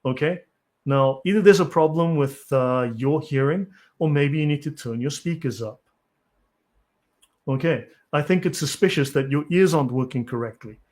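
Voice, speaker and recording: A man's voice: fairly high pitch, normal speed, darkish tone. A South African man hosting a live stream, who reads from articles and answers chat comments bluntly and impatiently. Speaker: channel host.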